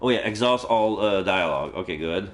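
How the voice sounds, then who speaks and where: A man's voice: sits low, expressive, brisk. A man livestreaming a visual novel, reading the text aloud casually with jokey, muttered asides. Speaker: streamer playing a visual novel. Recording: roomy sound, faint game music. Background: faint game music.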